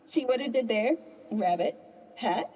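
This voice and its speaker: A woman's voice: on the high side, expressive, measured pace, mellow, husky. A woman playing a gloating, theatrical rabbit trickster villainess in an audio drama, mocking and hammy like a stage host. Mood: gloating, smug. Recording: music under the voice.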